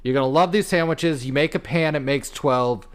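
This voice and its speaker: A man's voice: on the high side, normal speed, smooth. A young man chatting casually as a podcast co-host in a rambling, jokey way with some swearing. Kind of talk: casual comedic chat.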